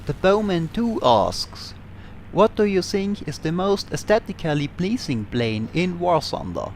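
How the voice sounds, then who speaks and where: A young man from Tyrol with an Austrian accent, calmly answering viewer questions as a gaming channel host. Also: on the high side, a little hiss or hum. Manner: calm, explanatory. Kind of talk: Q&A over flight game footage.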